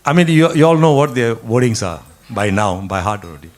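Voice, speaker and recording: A man briskly reading an award induction, with an informal lead-in. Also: medium pitch, sing-song, soft background music. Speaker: award presenter.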